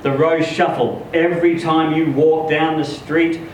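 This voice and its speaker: A man giving an expressive live reading of a first-person literary story, acting out the dialogue as he goes. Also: a little high, a little slow. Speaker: story reader. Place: live reading event in an echoey room. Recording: echoey room, distant mic, noisy.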